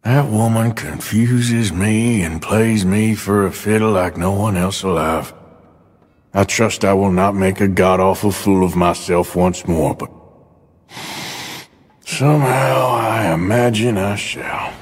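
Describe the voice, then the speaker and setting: A man playing a weary, gruff outlaw cowboy with a dry Western American drawl in a video game cutscene. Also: fairly low pitch, slow, no echo. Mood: subdued, reflective. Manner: dry, plain-spoken drawl. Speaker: game voice actor.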